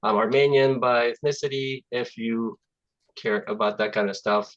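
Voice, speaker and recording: A man's voice: mid-range voice, normal speed. Man with a Russian first language, muffled call audio. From Azerbaijan. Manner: relaxed, chatty, many fillers and restarts. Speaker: guest speaker, software engineer. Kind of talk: presentation over video call. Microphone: computer mic on video call.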